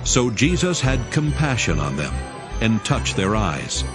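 A man narrating scripture from a script in a measured, formal manner. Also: lowish voice, big pitch swings, over music.

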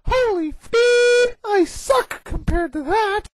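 A woman voicing a ditzy, whiny, excitable teenage schoolgirl heroine in an anime parody dub. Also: extremely high pitch, slow, loud music behind the voice, heavily acted. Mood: baffled. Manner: whiny, childish, melodramatic.

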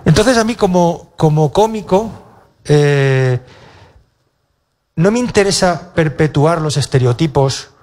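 A man, a comedian talking on a stage panel through a handheld mic, halting with many restarts and a wry self-mocking tone. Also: a little high, expressive, a little mellow. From Castilla-La Mancha, Spain. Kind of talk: panel discussion. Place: stage of a cultural centre auditorium.

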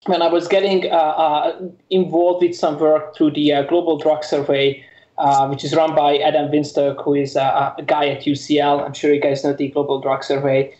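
A man's voice: a little high, flat delivery, moderate pace, dark tone, echoey room, dull audio. A man from Hungary, a researcher, answering interview questions in a hesitant way with many fillers. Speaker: researcher guest.

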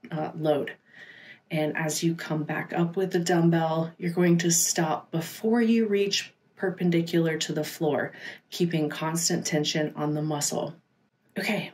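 A woman's voice: fairly low pitch, darkish tone. A woman giving an instructional workout voice-over as a fitness instructor, explaining each step in a casual coaching manner.

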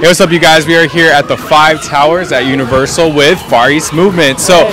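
A young man fires off loose, upbeat questions into a handheld mic at an indoor event backdrop. His voice sits a little high and moves quickly. The talk is chatty and informal. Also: steady tone. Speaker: interviewer. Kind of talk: red carpet interview.

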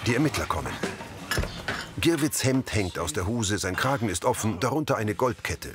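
A man's voice: on the low side, lively intonation, normal speed. A man narrating scripted scene descriptions in short, concise present-tense sentences.

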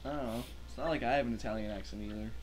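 A man's voice: on the low side, rapid-fire, a little hiss or hum, rough recording. A man giving casual, playful let's play commentary, bantering with a co-commentator. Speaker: gaming co-commentator. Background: video game music.

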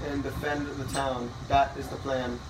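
A man's voice: medium pitch, little pitch movement, unhurried, a loud crowd. A young man hosting a gaming video, chatting casually and rambling, playfully bossy about the plan. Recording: echoey room, mic far, noisy.

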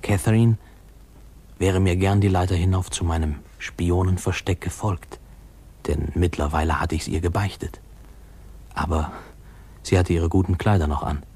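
An actor playing a wistful man narrating his boyhood memories in a measured, literary 1950s radio drama style. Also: sits low, steady tone, darkish tone, husky. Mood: reflective. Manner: reflective, measured storytelling.

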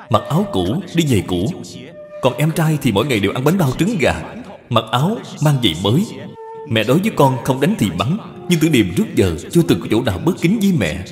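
A man's voice: medium pitch, darkish tone, natural voice. A man voicing a wronged, resentful grown son from old China in a cartoon, protesting earnestly. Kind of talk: cartoon dubbing. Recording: long reverb, music behind.

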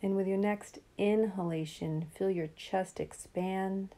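Calm lady doing guided meditation, really mellow n relaxed voice, pretty flat tone, slow soothing phrases w pauses. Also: mid-range voice.

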